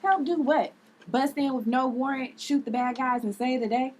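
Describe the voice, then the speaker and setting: A brash, bossy vigilante, played by a woman at a table read, talking in blunt street slang. Her voice is on the high side, over faint noise. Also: moderate pace, natural voice. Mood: challenging.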